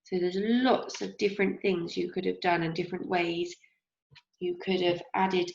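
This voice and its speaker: A young woman teacher with a slightly croaky voice, gently leading a craft lesson for young children and asking them questions as she goes. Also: fairly low pitch, flat delivery. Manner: slow, encouraging, asks children questions. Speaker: primary school teacher. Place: home kitchen, indoors. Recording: roomy, dull lo-fi sound.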